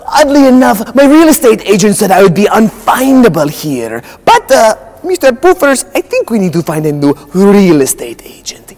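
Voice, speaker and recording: An actor playing a grandiose comic villain professor with a wounded streak and a put-on European accent, in a theatrical skit. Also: male voice, high voice, gravelly, full character voice. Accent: put-on foreign European accent. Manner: grandiose, theatrical, swinging from shouts to hushed asides. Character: melodramatic male comic villain professor, wounded younger brother. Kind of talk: comic villain monologue in a children's church skit.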